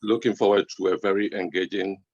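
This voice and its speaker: A man's voice: low-pitched, level tone, a little fast, no room sound. A man from Ghana introduces himself over a video call, hesitating often with fillers. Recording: dull dry video call audio.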